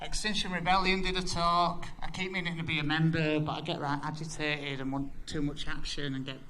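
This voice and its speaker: Blunt, bright-voiced Yorkshire man. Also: fairly high pitch, level tone, brisk, a little hiss or hum. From Yorkshire, England. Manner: blunt, sweary, self-deprecating. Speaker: freelance designer giving a talk. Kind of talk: casual conference presentation.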